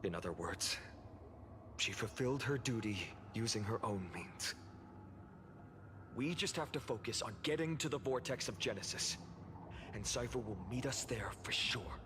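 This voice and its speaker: An actor playing an earnest young male leader in a video game, speaking in measured, resolute speeches. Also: mid-range voice, animated, noisy background, close to an everyday voice. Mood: calm, explaining. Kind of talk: video game story voice acting. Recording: music behind the voice. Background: game music.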